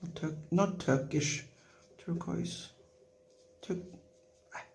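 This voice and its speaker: Slow, creaky-voiced woman with non-native English. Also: lowish voice, darkish tone. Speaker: watercolour tutorial instructor. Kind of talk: relaxed painting tutorial, talking while working.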